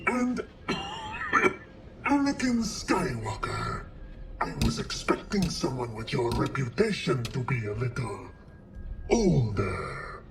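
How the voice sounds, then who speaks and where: A man playing a haughty, menacing cyborg general from a film, with a rasping, wheezy voice and theatrical sneer. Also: medium pitch, exaggerated voice. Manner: sneering, drawn-out theatrical delivery. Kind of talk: film villain acting. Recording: loud music behind the voice.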